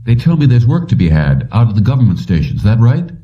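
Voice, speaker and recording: A man's voice: mid-range voice, big pitch swings, quick pace, voice pushed up, lightly acted. A man voicing a determined electrician looking for work, asking plain, terse questions in an audiobook. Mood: probing. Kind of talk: audiobook character dialogue. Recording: dull lo-fi, distant from mic.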